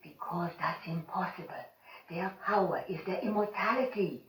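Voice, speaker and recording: Video game cast: actress as a lowish-voiced Jamaican voodoo priestess, grave and ominous, heard distant in an echoey mix. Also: female voice, close to an everyday voice. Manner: solemn, ominous warnings. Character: wise stern female voodoo priestess.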